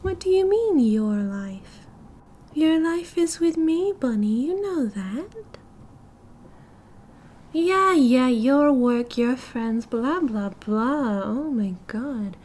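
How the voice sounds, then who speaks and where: A woman's voice: high voice, animated, darkish tone, exaggerated voice. A British woman playing a cheerful, oblivious and possessive yandere girlfriend in an ASMR roleplay, with a breezy, giggly, dramatic manner. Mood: dismissive, possessive. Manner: giggly, breezy, dramatic, chatty with drawn-out casual delivery.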